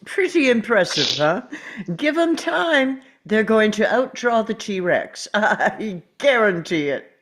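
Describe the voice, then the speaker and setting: A woman reads the part of a proud, genial park founder in a drama read-through. The delivery is slow and animated, like a showman reassuring guests. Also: on the high side. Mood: boastful. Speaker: amateur actor at a table read. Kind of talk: script read-through acting.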